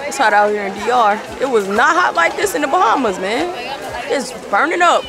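A woman's voice: sits high, lively intonation, fairly quick. A woman chatting casually to her viewers as a travel vlogger, joking and talking straight to the camera outdoors. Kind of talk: casual travel vlog chat. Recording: street crowd and music behind.

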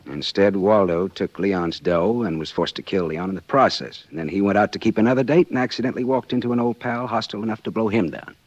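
Man playing a low, husky wisecracking private eye. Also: fast talker, hardly any pauses, no room sound, lightly acted. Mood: sardonic. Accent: American. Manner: sardonic, glib. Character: wisecracking hard-boiled male private detective. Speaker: lead actor and narrator. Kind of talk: 1940s radio acting. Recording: muffled, lo-fi 1940s radio recording.

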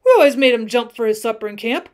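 A woman's voice: a little high, animated, quick pace, lightly acted. A woman voicing a young cowboy from a storybook, folksy, earnest and aggrieved as he bluffs. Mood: defensive. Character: young cowboy, mock-mournful, earnest, bluffing a tall tale. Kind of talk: acted storybook dialogue.

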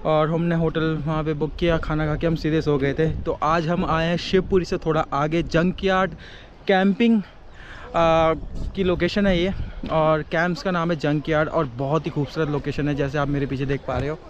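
A man's voice: a little high, fairly even intonation, normal speed, dull audio, a little hiss or hum. Chatty young man vlogging outdoors. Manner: chatty, addresses viewers as friends. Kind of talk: casual travel vlog. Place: outdoors by a river camp in hills. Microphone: camera held at arm's length.

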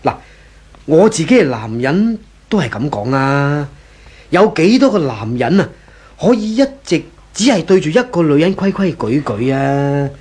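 A man's voice: a little high, sing-song, a little slow, darkish tone, slightly rough, heavily acted. An actor playing a hesitant, gossiping employee in a 1980s Hong Kong Cantonese radio drama, stammering and sighing as he feigns reluctance. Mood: insinuating.

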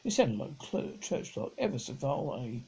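A middle-aged man reads a children's novel aloud in a raspy, mellow voice. His pitch sits a little high and swings about freely. The delivery is halting, with stumbled and repeated words. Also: sing-song. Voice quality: husky, gravelly. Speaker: amateur audiobook reader. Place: living room at home, on a sofa.

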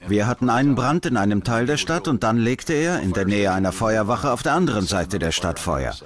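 A man's voice: fairly low pitch, lively intonation, fairly quick. A man recounting an investigation in dubbed documentary testimony.

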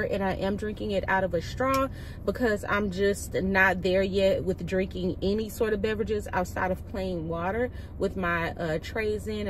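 A woman in her thirties chatting casually to viewers from the driver's seat, her speech slightly altered by new aligner trays. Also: medium pitch. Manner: chatty, animated, talks to viewers as "y'all" and "child". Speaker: vlogger. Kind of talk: casual chat to camera. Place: inside a car. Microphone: phone or camera on the dashboard. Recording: car interior with road noise.

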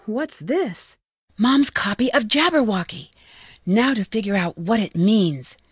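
A woman's voice: medium pitch, a little mellow, breathy edge, no echo, studio quality. An American woman playing a curious, plucky young amateur detective in a video game, talking to herself in short, wry remarks. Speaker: voice actor in a detective adventure game. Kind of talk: video game character lines.

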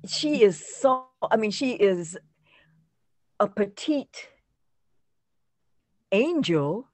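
An older woman, an actress being interviewed on a video call, reflecting conversationally, halting and repeating words for emphasis. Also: medium pitch, expressive, darkish tone. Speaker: actress guest.

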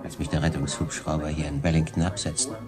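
A man's voice: rumbling low, little pitch movement, a little fast. An actor playing a brooding, weary shipwrecked sailor who recounts his ordeal in a radio drama monologue. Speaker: narrator and main character. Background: music.

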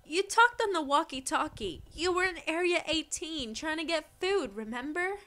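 A woman's voice: high-pitched, lively intonation, moderate pace, sharp tone. A woman voice acting a tense, commanding young spaceship survivor in an amateur game roleplay. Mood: confused, tense.

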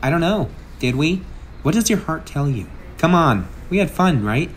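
A man's voice: a little high, very animated, moderate pace, slight background noise. A man playing a brash, sarcastic masked antihero with a kind streak, in a theatrical comic book dub. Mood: playful, cocky.

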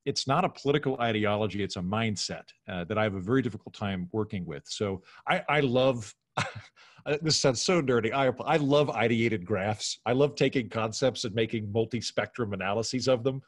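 A man's voice: on the low side, moderate pace, no room sound. American man talking casually, dry close sound. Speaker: podcast guest, comedian. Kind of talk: casual opinionated conversation. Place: home room on a video call.

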